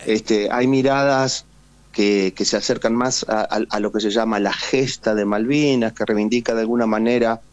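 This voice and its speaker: A man's voice: lowish voice, fairly even intonation, normal speed, no echo, muffled recording. A man with an Argentine accent, a veteran guest on a radio call, talking casually and hesitantly with many fillers and tag questions. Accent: Argentine Spanish. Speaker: guest, war veteran and writer. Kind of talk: casual phone interview.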